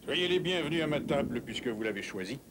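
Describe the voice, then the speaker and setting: Actor as a stern, animated Saxon lord, noisy soundtrack. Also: male voice, mid-range voice, quick pace, natural voice. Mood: stern, guarded. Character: stern, proud Saxon lord, middle-aged father. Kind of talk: dubbed cartoon acting.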